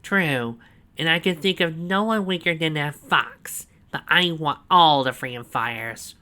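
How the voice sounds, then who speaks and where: A man voicing a scheming male villain who gloats and drawls his words out slowly. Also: on the high side, bright, forceful tone, voice pushed up. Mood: gloating.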